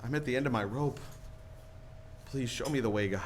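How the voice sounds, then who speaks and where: Humble, desperate young man, acted by a man, medium pitch, pleading, 1940s radio drama, light background noise. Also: a little fast, lightly acted. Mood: praying, broken. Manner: stammering, pleading. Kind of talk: 1940s radio play acting.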